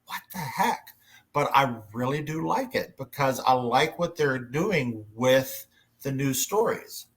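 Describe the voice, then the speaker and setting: A man's voice: medium pitch, expressive, slow. Effusive, rambling man chatting on a fan panel. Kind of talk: casual fan panel chat. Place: indoors, online panel call. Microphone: headset on a video call.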